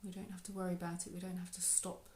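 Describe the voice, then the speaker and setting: A woman leading a guided meditation in a soft, unhurried, soothing way, with phrases trailing off into breath. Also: fairly low pitch, level tone. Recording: roomy, echoey sound.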